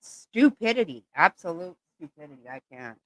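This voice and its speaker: Woman host, low voice, dry sound, profane exasperated commentator. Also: very animated, moderate pace, no room sound. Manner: blunt, swearing, exasperated. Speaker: channel host reacting to clips. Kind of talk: angry reaction commentary.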